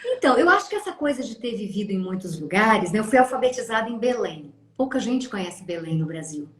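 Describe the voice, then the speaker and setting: A Brazilian woman in her fifties, a therapist telling her life story in an interview, lively and expressive, often tagging phrases with a questioning aside. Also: mid-range voice, fast. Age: 50s. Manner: animated, acts out quoted voices, frequent "né?". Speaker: guest, family constellation therapist. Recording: echoey room.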